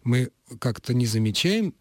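A male radio host with a slightly husky voice reads a listener's letter aloud in the studio. He delivers the text deliberately, carefully stressing key words. The recording is dry, with no room around the voice. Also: mid-range voice, big pitch swings, darkish tone, no echo.

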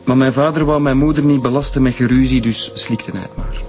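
A man's voice: mid-range voice, fairly even intonation, fairly quick, a little mellow, soft background music, close to an everyday voice. A man playing a resentful nephew in a radio drama, terse and bitter, with a Flemish accent. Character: resentful adult nephew of a priest.

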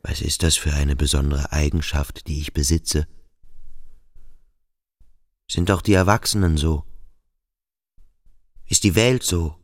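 A brooding schoolboy in a radio play, really deep voice, dry and close, self-questioning. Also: male voice, steady tone, no room sound. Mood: quietly wondering. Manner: slow, deliberate, self-questioning. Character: brooding, introspective schoolboy pondering his own strangeness. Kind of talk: literary radio drama monologue.

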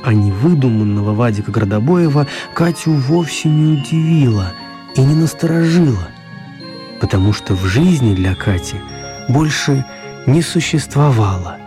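A man narrating a children's radio story as a wry storyteller, expressive and mock-serious, with deliberate pauses. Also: medium pitch, music in the background, close to an everyday voice.

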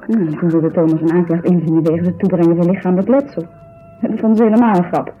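A woman's voice: on the low side, steady tone, fast, natural voice. Distraught, bitter actress as a woman, muffled sound. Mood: indignant, bitter. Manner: agitated, emphatic, bitter storytelling with tag questions. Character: distraught, indignant woman telling of her injured friend. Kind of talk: 1970s Dutch radio drama acting. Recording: muffled 1970s radio recording.

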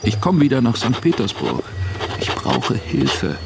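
An actor playing a broke, wily socialist on the run in a German radio drama, in fragmentary, dramatic phrases. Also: male voice, lowish voice, huge pitch swings, measured pace, gravelly, noisy background, heavily acted. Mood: pleading, urgent. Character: desperate, broke male socialist fugitive, pleading and wily.

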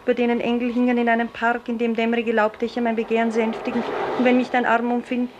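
A woman's voice: on the high side, completely flat delivery, fast talker, muffled recording, lightly acted. An actress playing a dreamy, wilful young woman who yearns for the sea, lyrical and declamatory in 1950s German radio play style. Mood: wistful, questioning. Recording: roomy, hissy 1950s radio drama recording.

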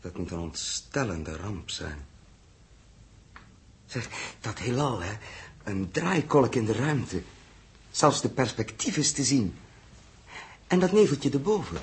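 An actor playing a calm, reflective male spaceship captain in a 1960s Dutch radio drama. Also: medium pitch. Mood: hushed awe. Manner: measured, musing, commanding.

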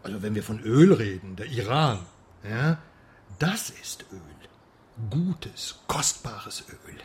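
An actor playing a talkative, teasing Western journalist with a theatrical manner in a radio crime drama. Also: male voice, lowish voice, very animated, slow, a little hiss or hum. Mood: playful musing. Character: chatty, mocking Western male journalist in East Berlin.

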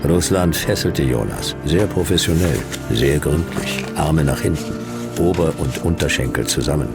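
An actor playing a hardboiled private detective in a sci-fi radio drama, laconic and dry, with a gravelly voice. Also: male voice, a bass voice, moderate pace, loud music behind the voice. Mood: laconic, deadpan. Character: hardboiled male private detective narrating his own sci-fi case. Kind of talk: radio drama narration and dialogue.

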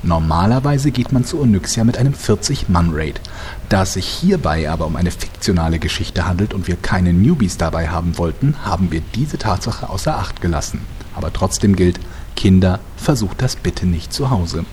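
Male actor as deadpan storyteller, very low voice, mock-solemn, comic audio drama, faint noise. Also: moderate pace. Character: mock-serious narrator addressing the kids. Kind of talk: scripted parody narration.

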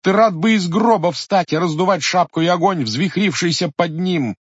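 A man reading a storybook voices a young Cossack wife who pleads in a high, impassioned, tearful voice. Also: normal speed, no echo. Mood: despairing. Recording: muffled lo-fi recording.

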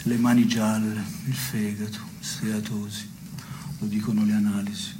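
An actor playing a brooding, neurotic, melancholy man who philosophises in measured, literary theatre dialogue. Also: on the low side, level tone, background noise, natural voice. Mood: pensive. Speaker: stage actor and playwright.

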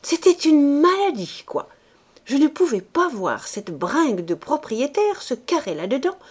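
A woman reading an audiobook, voicing a brash, greedy woman who talks in a shrill, loud, giggly way. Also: husky, exaggerated voice.

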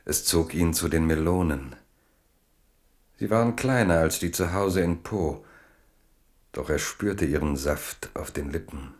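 Very deep-voiced man narrating. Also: measured pace, long pauses, darkish tone. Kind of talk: scripted literary narration in a radio drama.

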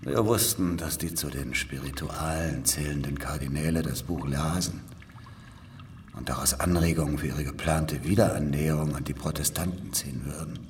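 A very deep-voiced, world-weary spy in a radio drama. He is cynical and knowing, with deliberate, sighing delivery. Faint noise sits behind the voice. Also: steady tone. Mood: weary, explanatory. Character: weary, cynical old spy confessing to his hunter. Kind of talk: German radio drama acting.

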